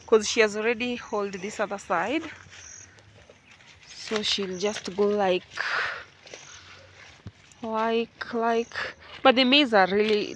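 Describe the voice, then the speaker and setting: A woman's voice: medium pitch, fairly bright tone, slight background noise. A Kenyan woman vlogging casually from her rural farm, chatting to viewers as family and guys, recorded on a phone outdoors. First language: Luo. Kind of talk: casual vlog chat to viewers.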